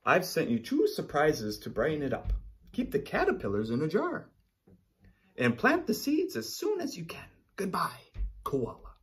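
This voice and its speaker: A man's voice: a little high, wildly swooping pitch, moderate pace, voice pushed up. A man voicing a kindly, helpful koala from a children's storybook in a reassuring way.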